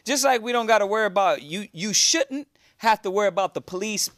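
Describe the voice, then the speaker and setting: A man's voice: high voice, expressive, brisk, a bit bright, dry recording, studio quality. A man teaching a religious class on a cable show, earnest and repetitive in his preaching.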